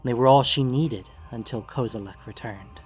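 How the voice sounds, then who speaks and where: A man narrating a scripted fantasy story in a solemn, dramatic way with deliberate phrasing. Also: medium pitch. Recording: music bed under the voice. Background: background music.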